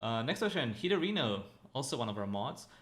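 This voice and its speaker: A man's voice: mid-range voice, big pitch swings, brisk, a bit bright. A man hosting a panel, reading out viewer questions with a few light fillers. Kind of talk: casual panel chat.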